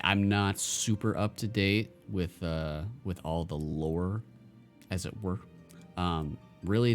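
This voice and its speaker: A man's voice: low-pitched, a bit bright. A man casually commenting while he streams a video game, rambling with many stammers and fillers.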